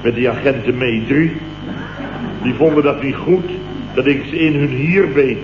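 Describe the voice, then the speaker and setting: An actor from the Netherlands with a Dutch accent, playing a sleep-starved, self-pitying, blustering company boss who rambles and repeats himself in a comic radio play. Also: male voice, mid-range voice, measured pace, lightly acted. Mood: aggrieved, dramatic. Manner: rambling, repetitive, exclamatory grumbling. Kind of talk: late 1960s comic radio play acting. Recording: dull, noisy, roomy old radio recording.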